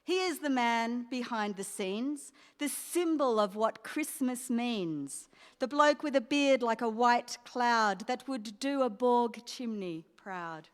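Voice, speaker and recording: An actress as a proud, seductive lady poisoner plotting in a radio play. Her voice is clear and smooth, delivering rhymes slowly, with a long hall echo around it. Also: a little high, animated. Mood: scheming. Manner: deliberate, scheming rhymed delivery. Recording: echoey hall, live stage.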